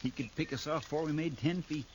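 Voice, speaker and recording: An actor playing a loyal, worried deputy sidekick in a 1950s radio drama. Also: male voice, on the high side, quick pace, natural voice. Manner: earnest, worried. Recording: old radio broadcast with clear hiss.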